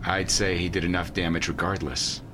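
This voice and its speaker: Casting: male actor as blunt sci-fi commander, lowish pitch, practical delivery, noisy industrial setting. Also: steady tone, brisk, natural voice. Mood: wary, skeptical. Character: blunt, gruff male commander of deep-sea base. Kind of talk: sci-fi audio drama acting. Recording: loud rumbling industrial ambience.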